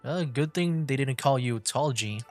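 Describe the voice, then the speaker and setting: A man giving casual livestream commentary on a game in a halting, musing way. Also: medium pitch, a little mellow, faint music behind. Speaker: streamer playing a game.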